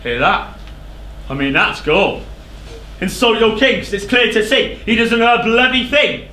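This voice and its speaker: A man's voice: sits high, sing-song, a little slow, bright, forceful tone, full character voice. An actor playing a proud, hot-tempered king in a stage verse drama, declamatory and scornful. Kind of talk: theatrical verse stage acting. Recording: echoey theatre stage recording, faint noise.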